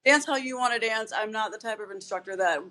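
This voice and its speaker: A woman guest, a physician assistant and fitness instructor, talking in a relaxed, rambling way with many fillers. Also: on the high side, quick pace. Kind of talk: casual interview chat.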